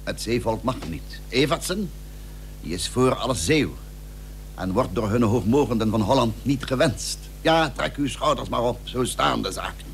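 An actor playing a courteous, persuasive statesman in a period radio drama, with formal, measured, confiding speech. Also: medium pitch, expressive, creaky. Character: courteous, persuasive male statesman of the Dutch Republic. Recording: humming, noisy old radio recording.

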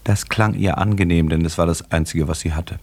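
Male narrator, radio drama. Low, rough-edged voice, scripted literary prose told with dry irony. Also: steady tone, a little fast, a little mellow.

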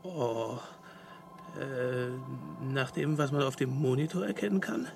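A man's voice: mid-range voice, expressive, measured pace, gravelly, background noise. A man playing a puzzled spaceship crewmate in a science fiction radio drama. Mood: puzzled, dry.

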